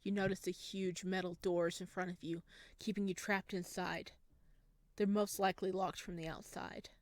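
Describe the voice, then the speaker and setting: A woman's voice: on the low side, moderate pace, dry recording. A woman gaming YouTuber who reads a horror visual novel aloud expressively and then reacts to it. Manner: expressive, animated reader.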